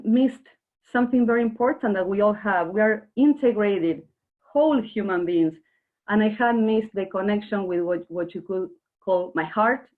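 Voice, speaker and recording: A woman from Argentina giving an earnest workshop presentation online, speaking directly to her audience. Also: mid-range voice. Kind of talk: webinar presentation. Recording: narrow phone-like online call audio.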